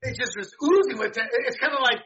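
Sex: man. Role: podcast co-host. Style: casual sports and politics chat, animated and rambling. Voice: high, bright. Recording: dull lo-fi. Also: quick pace. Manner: animated, rambling, repeats words, laughs while talking.

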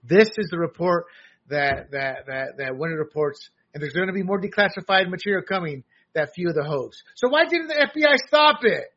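High-pitched, raspy male political host. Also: expressive, a little fast, fairly bright tone, muffled recording. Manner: jokey, emphatic, repeats words. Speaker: political talk show host. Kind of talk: conversational political commentary.

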